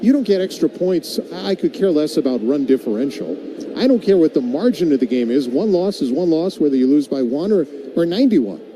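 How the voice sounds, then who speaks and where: A man calling baseball play-by-play on the radio and chatting in a wry, conversational way between pitches. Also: animated, a little fast, noisy background. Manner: wry, tongue-in-cheek. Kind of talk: live play-by-play mixed with conversational commentary.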